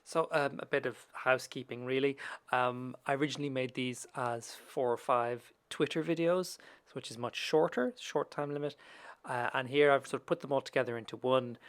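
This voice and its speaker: A man's voice: medium pitch, steady tone, normal speed. A man presenting a casual, conversational screen-share tutorial in an informal way, with small hesitant asides. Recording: clear background noise.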